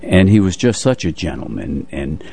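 An older man co-hosting a radio show, moving between relaxed chat and announcements. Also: rumbling low, moderate pace, gravelly, breathy edge.

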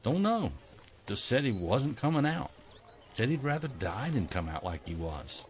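A man voicing an army captain who is brisk, teasing and good-humored. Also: medium pitch, very animated, a little hiss or hum, close to an everyday voice. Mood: gruff, amused. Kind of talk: audio drama acting. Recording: muffled lo-fi recording.

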